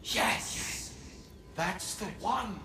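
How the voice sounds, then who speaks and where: An actor playing a hearty male drinker's spirit in a video game, a slow, theatrical, awestruck storyteller. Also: high voice, vocal fry, music in the background, full character voice. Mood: awestruck, excited. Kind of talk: video game drama acting. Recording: echoing voice with background noise.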